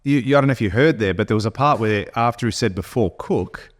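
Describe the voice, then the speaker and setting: Blunt Australian man ranting, low voice. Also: fast. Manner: blunt, animated ranting with restarts. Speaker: podcast co-host. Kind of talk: casual opinionated chat.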